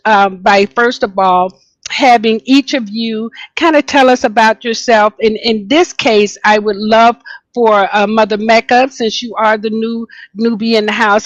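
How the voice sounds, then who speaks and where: A woman with a fairly high voice hosts a video call panel in a chatty, warm way. She introduces her guests and asks them questions. The sound is close and dry. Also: no room sound, muffled recording. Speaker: host.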